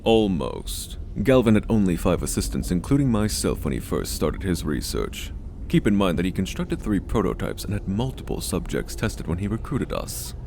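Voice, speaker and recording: An actor playing a stern, condescending senior scientist in an audio drama, speaking in measured, authoritative phrases. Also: male voice, low-pitched, very animated, creaky, dark tone, slight background noise, heavily acted. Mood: composed, measured. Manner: measured, authoritative, clipped orders.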